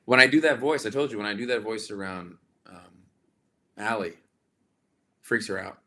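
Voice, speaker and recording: A man with a slightly raspy voice hosting a casual livestream chat, rambling warmly with lots of fillers and restarts. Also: lowish voice, normal speed. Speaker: livestream host. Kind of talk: casual live chat with viewers.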